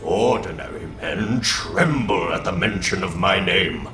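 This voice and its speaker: A man's voice: low voice, expressive, a little slow. A man playing a menacing, grandiose supervillain in a comic book dramatic reading, pompous and theatrical in his delivery. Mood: brooding, grandiose. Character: menacing, grandiose male supervillain plotting revenge. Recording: echoing voice over clear background music.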